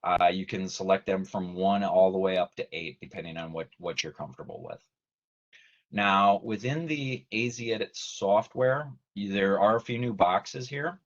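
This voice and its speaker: Low-voiced man presenting, dull call audio. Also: moderate pace. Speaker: technical trainer, company presenter. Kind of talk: explanatory webinar presentation, semi-scripted. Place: online video meeting.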